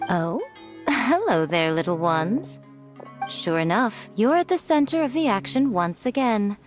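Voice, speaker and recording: An elegant, teasing lady editor, with a mid pitch and lively, theatrical swings. She sounds self-assured, a game character over prominent music. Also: measured pace. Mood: amused. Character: sly, elegant, teasing female publishing editor. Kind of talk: video game character acting.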